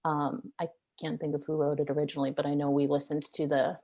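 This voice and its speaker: A woman's voice: deep voice, little pitch movement, fast, mellow. A young woman, a singer-songwriter, chatting casually in an interview, rambling with many fillers.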